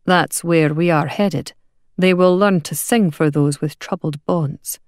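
A woman voicing a quiet, unruffled man in an audiobook, speaking low and slowly in a flat, deadpan way. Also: no echo, studio quality. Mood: flat, firm. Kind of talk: audiobook character voice.

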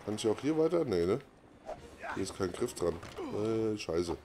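A man's voice: on the low side, sing-song, moderate pace, noisy background. A man commentating casually on a video game, thinking aloud and asking himself questions. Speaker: gaming video host. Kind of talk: casual let's play commentary.

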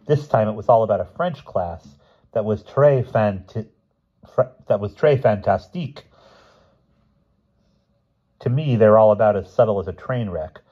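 An earnest storybook schoolboy performed by a man, a little anxious and wry. The voice is husky and lifted above the narration, on a dull recording. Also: on the low side, animated, measured pace, pauses often, pitched above the performer's own voice.

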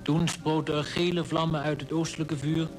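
An actor playing a polar expedition member, giving a literary account in a radio play. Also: male voice, fairly high pitch, flat delivery, normal speed, music in the background, natural voice. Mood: literary narration. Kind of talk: scripted radio drama narration. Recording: noisy old radio play recording.

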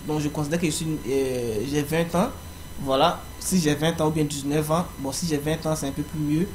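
A young man giving a screen-recorded walkthrough of an online application, pausing often with fillers as he explains each step. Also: fairly high pitch, darkish tone. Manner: halting, frequent fillers. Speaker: tutorial presenter. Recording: faint background noise.

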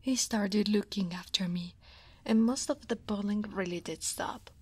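A weary female dragon office worker, played by a woman for an ASMR roleplay. She speaks very slowly at a medium pitch, soft and breathy, often dropping to a half-whisper. She is easily flustered and hesitant. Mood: wistful, embarrassed. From Italy.

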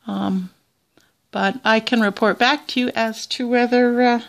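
Slow, chatty Southern Ontario woman. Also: on the high side, steady tone, vocal fry. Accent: Southern Ontario Canadian. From Canada. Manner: chatty, rambling, many fillers and restarts. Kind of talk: casual show-and-tell narration. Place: small indoor tabletop setup.

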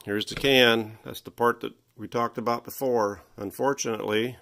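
A man giving a casual workshop walkthrough, halting and folksy as he thinks aloud, recorded indoors on a handheld device. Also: medium pitch, fairly even intonation, gravelly, pushed and bright. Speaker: hobbyist electronics restorer presenting his repair.

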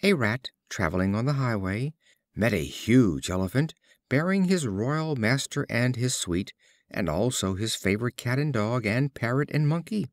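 Animated male storybook narrator. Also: medium pitch, moderate pace, dry recording, studio quality. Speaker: audiobook narrator. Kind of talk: scripted storybook narration of fables.

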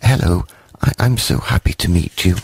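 A man's voice: sits low, normal speed, husky, no room sound, voice pushed up. A man voicing a tiny shy furry creature that stammers eagerly and pleads with breathless admiration.

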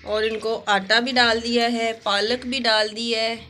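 A woman's voice: a little high, little pitch movement, unhurried, sharp tone, a little hiss or hum. A woman in her thirties with a sore throat, chatting to viewers in a home vlog, filming on a phone at arm's length. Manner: chatty, addresses viewers directly. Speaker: family vlogger. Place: home bedroom and rooftop with hens.

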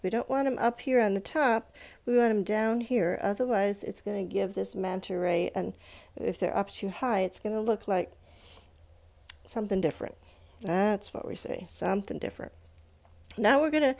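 A woman teaches drawing step by step in an informal way. Her voice has noticeable fry and sits at an ordinary pitch for a woman. She thinks aloud as she demonstrates and encourages the learner along the way. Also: darkish tone.

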